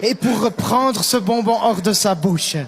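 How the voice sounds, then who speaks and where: A man's voice: sits high, measured pace, a crowd in the background. A man interpreting a sermon phrase by phrase, mirroring the preacher with emphatic delivery. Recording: large reverberant hall sound.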